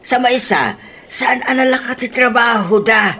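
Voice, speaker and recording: A woman's voice: on the high side, measured pace, bright, forceful tone, a little hiss or hum. A woman acting as a grumbling, concerned elderly father in a comedy radio drama, fretful and chiding.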